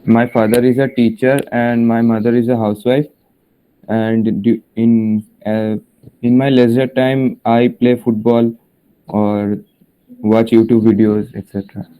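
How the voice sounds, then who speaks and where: A young male student from West Bengal introducing himself, hesitant and flat. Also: medium pitch, measured pace, clean tone. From West Bengal, India. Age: young adult. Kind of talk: self-introduction. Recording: tinny phone-like call line.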